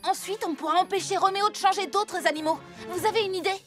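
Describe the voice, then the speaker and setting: A woman voicing a brisk, decisive six-year-old superhero leader with a commanding, urgent manner in a French cartoon dub. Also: very high-pitched. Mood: insistent. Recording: loud music behind the voice.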